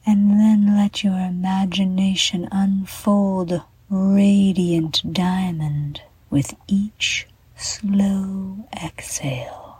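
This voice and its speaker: A woman guiding a children's bedtime meditation in a soft, breathy half-whisper, gently and soothingly, with deliberate pauses. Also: mid-range voice, fairly even intonation, dry recording. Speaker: meditation guide and show host.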